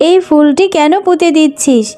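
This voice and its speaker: A woman's voice: high-pitched, moderate pace, warm tone, natural voice. A woman voicing a scolding, hard-working mother fox in a children's cartoon, with a nagging, motherly manner.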